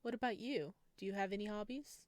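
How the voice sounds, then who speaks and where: A woman voicing a shy, flustered young male neighbour in a visual novel, hesitant, halting and soft-spoken. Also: mid-range voice, no echo, studio quality, close to an everyday voice.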